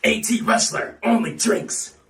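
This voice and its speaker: Blustering man doing a gravelly wrestling promo. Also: high voice, unhurried, a bit bright, far from the mic. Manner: blustering wrestler bravado, tacks on "brother" and "dude". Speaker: fan wrestling promo performer. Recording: roomy echo, off-mic.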